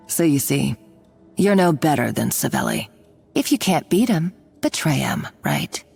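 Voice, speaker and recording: Game villain, female, lowish and very expressive, condescending schemer. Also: a little slow, vocal fry, slightly rough, faint music behind, exaggerated voice. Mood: mocking. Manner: mocking, condescending. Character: scheming, scornful woman villain. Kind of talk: video game voice acting.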